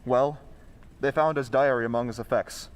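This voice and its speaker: A man playing a calm detective hero in an old-time radio drama, explaining things in a declamatory way. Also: mid-range voice, normal speed, dull audio, natural voice. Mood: calm, explanatory. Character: male detective hero Lamont, calm, explaining a murder case.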